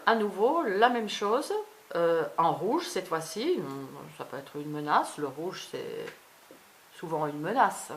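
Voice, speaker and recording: Low-voiced, halting woman vlogger. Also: slight background noise. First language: not French. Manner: halting, fragmented, trailing off. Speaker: video blogger. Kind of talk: unscripted personal vlog monologue.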